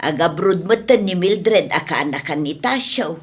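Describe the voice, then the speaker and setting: A woman playing a gentle, reassuring middle-aged mother with an Ilocano accent in a radio drama. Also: lowish voice, lively intonation, measured pace, husky.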